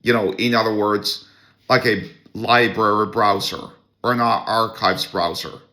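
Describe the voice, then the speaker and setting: A man's voice: lowish voice, steady tone, unhurried, sharp tone. A man with a Norwegian accent, hosting a solo podcast and ranting in a blunt, scornful way with many restarts. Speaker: podcast host. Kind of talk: opinionated solo podcast rant.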